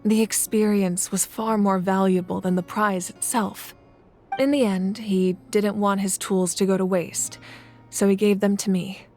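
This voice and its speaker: A woman playing a calm, worldly leader. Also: mid-range voice, soft background music, lightly acted. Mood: reflective. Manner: calm, measured, recounts stories at length. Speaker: Archon of the land. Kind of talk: game voice acting.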